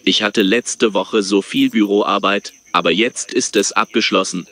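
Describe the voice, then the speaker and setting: A man reading his part in a scripted dialogue with measured, careful delivery. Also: on the low side, sharp tone.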